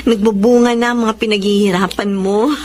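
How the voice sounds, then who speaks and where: A woman's voice: mid-range voice, fairly even intonation, normal speed, a little mellow, lightly acted. A woman playing a loving, cheerful pregnant wife in a radio drama. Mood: warm, affectionate. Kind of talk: Tagalog radio drama acting.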